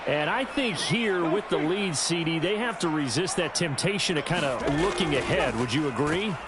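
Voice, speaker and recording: A man calling football play-by-play in crisp, energetic broadcast style for a video game. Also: fairly high pitch, moderate pace, very noisy. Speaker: play-by-play commentator.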